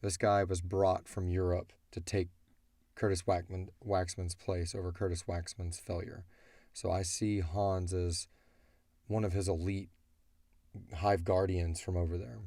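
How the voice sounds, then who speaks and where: A man with a low, creaky voice chats casually on a podcast. He is blunt, thinking aloud as he fields listener questions, and the tone is soft-edged and dark. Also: flat delivery, measured pace, dry recording, studio quality. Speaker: audio drama creator answering listener questions.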